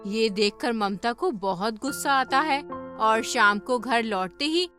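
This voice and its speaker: Bright high pitched lady narrating a cartoon story, music under it. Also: unhurried.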